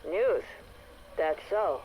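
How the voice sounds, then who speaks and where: Sardonic tough worker, faintly noisy log. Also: female voice, fairly low pitch, expressive, very slow, muffled recording. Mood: sarcastic. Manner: blunt, sarcastic, slangy. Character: sardonic, tough, gossipy female facility worker. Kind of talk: dramatised game audio log.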